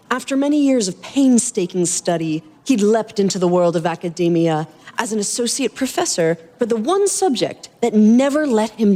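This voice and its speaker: A woman reading fan fiction aloud as a podcast host, in an expressive storytelling style. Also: medium pitch, big-room sound.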